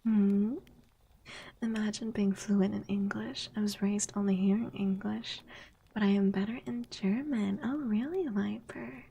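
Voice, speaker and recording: Slow husky whispering woman. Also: medium pitch, fairly even intonation. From United States. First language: Spanish. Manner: soft whispering, reading chat aloud, giggly. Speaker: VTuber streamer. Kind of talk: whispered ASMR stream chat.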